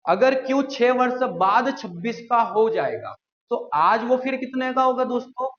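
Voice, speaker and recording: High-voiced man teaching a maths lesson. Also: lively intonation, moderate pace, a bit bright, muffled recording. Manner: explains step by step, often asks and answers his own questions; calls viewers "friends" often. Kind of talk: exam-prep maths lesson.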